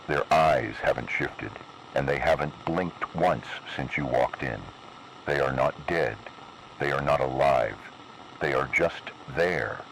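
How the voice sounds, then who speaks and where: A man playing a grave, cryptic emergency announcer delivering deliberate analog horror warnings in a noisy radio-style broadcast. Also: rumbling low, gravelly, fairly bright tone, full character voice.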